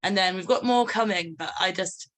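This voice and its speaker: A woman's voice: mid-range voice, normal speed, a bit bright. A woman speaking as an interview guest, chatting in a breezy, jokey and informal way. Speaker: interview guest, band singer. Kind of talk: casual chat.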